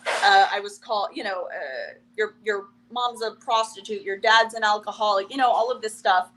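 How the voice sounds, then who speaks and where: A young woman from Ukraine with a Russian accent, a comedian chatting as a guest, wry and quick to laugh. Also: medium pitch, fairly bright tone, rough recording. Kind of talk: casual interview chat.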